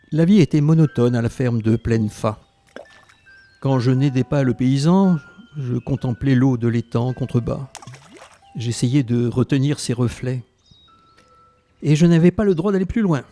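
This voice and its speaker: Male actor as reflective wartime storyteller, plain-spoken, soft dark timbre, faint music behind. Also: mid-range voice. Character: plain-spoken man recalling his wartime youth in hiding. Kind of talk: scripted first-person narration in audio drama.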